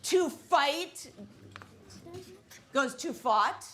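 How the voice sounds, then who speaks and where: A woman teacher giving classroom instruction in a deliberate, instructional manner. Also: high-pitched, lively intonation. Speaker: primary school teacher.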